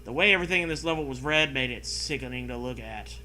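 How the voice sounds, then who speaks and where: A man's voice: medium pitch, fast talker, sharp tone, a little hiss or hum. A man reading a story aloud and breaking in with sarcastic, sweary casual commentary. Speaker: host reading and mocking fan fiction.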